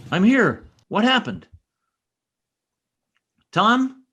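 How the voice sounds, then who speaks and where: An actor playing an earnest, insistent young police trooper in an old-time radio drama, speaking with urgent emphasis. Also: male voice, high voice, big pitch swings, drawn-out words, dull audio, background noise, exaggerated voice. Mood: alarmed.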